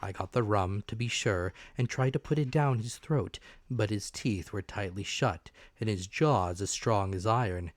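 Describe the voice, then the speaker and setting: A man's voice: lowish voice, moderate pace, hardly any pauses, dry recording. A man giving a dramatic narrated reading of a classic adventure novel. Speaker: audiobook narrator.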